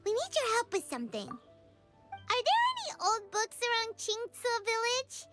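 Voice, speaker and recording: A woman's voice: wildly swooping pitch, unhurried, full character voice. A woman voicing a tiny, chirpy, childlike fairy companion in a video game, with bubbly manner. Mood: eager. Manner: squeaky, bubbly, refers to self in third person. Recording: loud game music behind.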